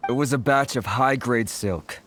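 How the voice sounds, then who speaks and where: An actor voicing a worried foreign merchant in a game, who sighs often and sounds apologetic. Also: male voice, medium pitch, moderate pace, noisy background, natural voice. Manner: fretful, sighing and apologetic. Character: anxious foreign merchant, sighing and put-upon. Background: game music.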